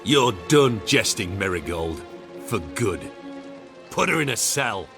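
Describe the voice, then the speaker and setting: A slow, smug witch hunter officer. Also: on the low side, very animated. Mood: threatening. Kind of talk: video game voice acting. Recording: music behind the voice.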